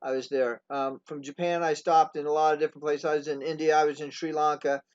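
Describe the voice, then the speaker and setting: High-voiced man hosting, muffled audio. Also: little pitch movement, clean tone. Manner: halting, many fillers and restarts. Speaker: host. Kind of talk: casual interview. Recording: dull lo-fi sound.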